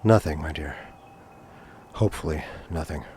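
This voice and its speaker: A man's voice: rumbling low, moderate pace, mellow, slight background noise, pitched below the performer's own voice. A man playing an earnest, protective romantic hero in a retro science fiction audio thriller, with intense, tender delivery. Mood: tender, reassuring. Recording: music behind the voice.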